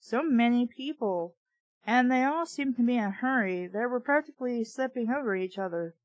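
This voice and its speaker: A woman with a fairly high voice reads a story aloud in a close, dry recording. She slips between narration and casual commentary, sounding sarcastic and exasperated in her asides. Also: normal speed, no echo, highs cut off. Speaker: book reader and commentator. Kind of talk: audiobook-style reading with casual asides.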